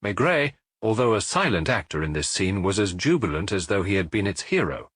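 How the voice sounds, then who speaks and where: A man's voice: low voice, big pitch swings, brisk, fairly bright tone, husky, dry recording, studio quality. A man reading a detective story as an audiobook narrator, in a scripted, dramatic storytelling style.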